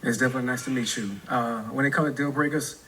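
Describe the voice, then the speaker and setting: A man in his forties with a New Orleans accent, talking in a bright, forward voice. He answers a dating show host in a laid-back way, tagging sentences with "right?". Faint ambient noise sits behind him. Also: medium pitch, fairly even intonation, fast, poor audio. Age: 40s.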